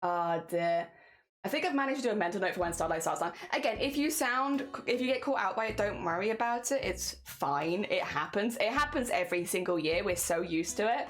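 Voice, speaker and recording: A woman's voice: medium pitch, lively intonation, brisk. A woman streamer with a mixed British accent that blends southern English, Midlands and Welsh. She chats casually with her viewers, rambles from point to point and laughs often.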